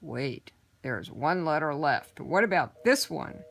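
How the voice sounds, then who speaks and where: Woman reader as a big horned goblin: low, slow and quietly greedy. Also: expressive, vocal fry, exaggerated voice. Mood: sly. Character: horned red-faced male goblin, sly and greedy.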